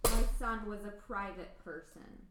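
A woman's voice: mid-range voice, measured pace, heavy background noise, natural voice. A woman playing a regal, doped-up, otherworldly wealthy mother in an amateur radio play. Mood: icy. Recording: echoey, loud music behind.

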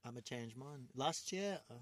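A man's voice: mid-range voice, lively intonation, normal speed. Rambling accented male podcast host. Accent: non-native English accent. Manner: rambling, restarts often, laughs loudly. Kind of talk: casual chat.

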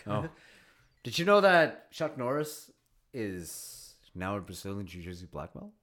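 A young man co-hosting a casual chat, animated and swearing freely, often acting out other people's lines. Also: lowish voice, a little slow. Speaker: podcast co-host.

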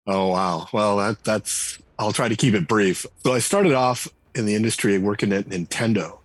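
A middle-aged man, a game writer and designer, talking casually in an interview and telling relaxed stories with frequent restarts. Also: on the low side, normal speed, breathy edge, no room sound. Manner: relaxed storyteller, many restarts and fillers.

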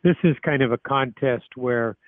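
A man's voice: medium pitch, moderate pace, dry recording. Hesitant man on a tinny phone line. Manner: hesitant, many fillers. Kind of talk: interview clip.

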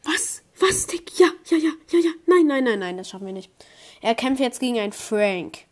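A young woman commenting on her own online match, excitable, swinging from low muttering to high squeals. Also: moderate pace. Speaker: gamer streamer. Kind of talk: live gameplay commentary.

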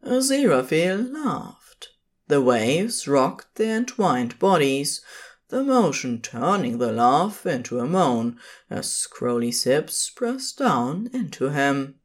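A woman's voice: low voice, sing-song, takes it slowly. A woman reading scripted fiction as the narrator of an audio story, in a dramatic storytelling style.

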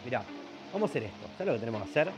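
A man's voice: medium pitch, big pitch swings, very fast, music in the background, close to an everyday voice. An actor with an Argentine Rioplatense accent playing a pompous, easily exasperated film expert who hosts a comedic radio show, switching between formal and slangy. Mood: slangy, conspiratorial. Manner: formal presenting that slips into slangy grumbling.

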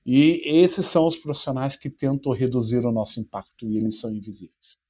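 Man, 40s, founder guest, mellow warm tone, easygoing storyteller. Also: mid-range voice, fairly quick. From Brazil. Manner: relaxed storytelling with self-deprecating jokes. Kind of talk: podcast interview.

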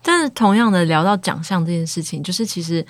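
A woman hosts a book show, posing thoughtful, polite questions. Her voice is very low for a woman, recorded close and dry. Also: fairly quick, dry recording. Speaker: podcast host. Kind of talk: interviewing.

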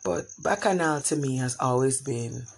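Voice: mid-range voice, moderate pace, vocal fry, breathy edge. A therapist from Jamaica, telling a reflective story in a thoughtful way with frequent restarts.

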